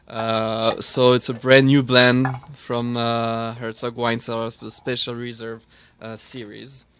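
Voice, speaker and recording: A man's voice: medium pitch, flat delivery, a bit bright, a little hiss or hum. Swiss French man explaining wine. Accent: Swiss French, with an Israeli tinge. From French-speaking Switzerland. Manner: halting, many "uh" fillers, laughs easily. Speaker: wine expert guest. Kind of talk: casual talk-show chat.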